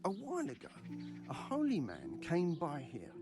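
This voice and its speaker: Animated high-voiced eager medieval craftsman. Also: normal speed, over music. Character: medieval male craftsman, eager, devout, grasping relic enthusiast. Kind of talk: video game dialogue acting.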